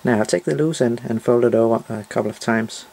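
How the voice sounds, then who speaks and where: A man's voice: mid-range voice, fairly quick, vocal fry, dark tone. A man narrating a step-by-step DIY tutorial in short, simple, matter-of-fact instructions.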